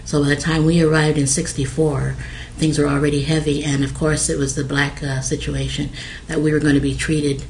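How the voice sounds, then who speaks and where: Hesitant middle-aged woman, flat delivery. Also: sits low, brisk, creaky, hardly any pauses, slight background noise, rough recording. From American Samoa, raised in Hawaii. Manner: hesitant, many fillers. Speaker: interviewee. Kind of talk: oral history interview.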